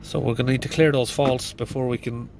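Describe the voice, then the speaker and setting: Flat-voiced mechanic, a bit congested. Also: male voice, mid-range voice, a little fast, gravelly, a little hiss or hum. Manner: plain, thinking aloud with fillers. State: congested from hay fever. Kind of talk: casual diagnostic walkthrough. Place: inside a van in a garage.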